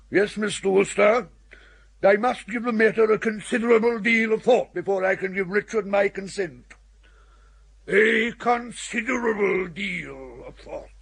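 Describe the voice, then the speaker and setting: An actor playing a fussy, pompous old English lord with an upper-class accent and a ponderous, formal manner in a radio comedy. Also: male voice, high-pitched, fairly bright tone, slightly rough, exaggerated voice. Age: older. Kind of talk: radio comedy drama acting.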